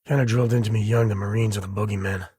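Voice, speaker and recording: A man voicing a rugged, folksy spacefaring cowboy and protective father in a video game, with an American accent, husky rasp and wry, informal manner. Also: fairly low pitch, steady tone, rapid-fire, mellow, no echo, clean studio sound. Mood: wry, easygoing. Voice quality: husky, rasping. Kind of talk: video game character dialogue.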